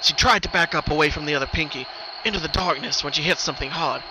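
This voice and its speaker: A man reading a scripted horror story as a dramatic narrator. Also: quick pace. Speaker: narrator of horror fan fiction. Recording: noisy recording, rumbling ambient background.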